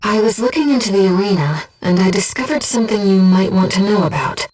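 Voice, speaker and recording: A woman playing a calm, devoted disembodied companion who guides a pilot in a video game, speaking in a measured, earnest, gently formal way. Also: medium pitch, recorded from a distance, lightly acted, low-quality recording. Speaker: mission support companion. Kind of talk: scripted video game voice acting.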